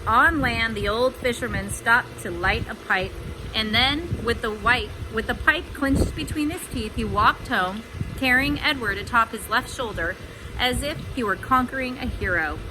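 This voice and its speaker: Higher-pitched woman reading a children's story. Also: background noise. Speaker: storybook reader. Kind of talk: children's read-aloud with chatty asides.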